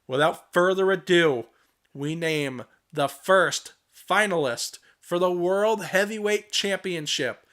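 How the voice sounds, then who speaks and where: A young man with a hoarse voice from a cold, commentating a wrestling video game play-by-play and rising to shouts on big moves. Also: fairly high pitch. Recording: home recording with clear background noise.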